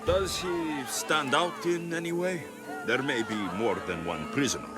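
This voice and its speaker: A man's voice: on the high side, expressive, a little slow. An actor playing a wry, dry-humored male mercenary hero. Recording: game cutscene with music.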